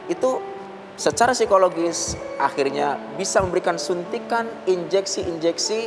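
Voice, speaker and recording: A man speaking as a psychology guest expert on a talk show, explaining in a measured way with pauses and hesitations. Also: sits high, expressive, over music.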